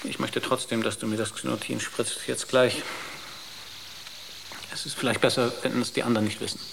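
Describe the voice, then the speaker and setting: A man acts out a troubled space crewman in a radio drama, held under a spell. The delivery is intense and halting, circling back on words, at a middling pitch in a strongly echoing room. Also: level tone, vocal fry, close to an everyday voice. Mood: anxious. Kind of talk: German radio drama acting. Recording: echoey room, faint background noise.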